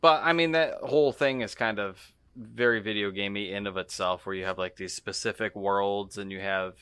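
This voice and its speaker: A man co-hosting a podcast in casual chat, talking in a halting, searching way. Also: on the low side, fairly even intonation, fairly bright tone, clear, resonant tone, no echo. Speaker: podcast co-host.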